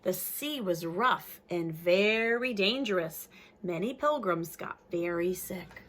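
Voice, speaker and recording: A woman reads a picture book aloud to young children in an expressive way, adding simple, friendly-worded explanations as a teacher would. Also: medium pitch, slight background noise, poor audio.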